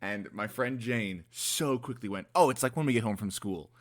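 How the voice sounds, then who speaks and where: A man's voice: fairly low pitch, normal speed. A young man co-hosting a casual chat show in a playful, teasing way. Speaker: podcast co-host.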